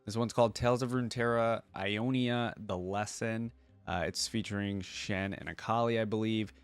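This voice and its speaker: A man's voice: lowish voice, steady tone, moderate pace, clear, resonant tone, dry recording, faint music behind. A man hosting a reaction channel with casual, off-the-cuff commentary, informal and easily impressed.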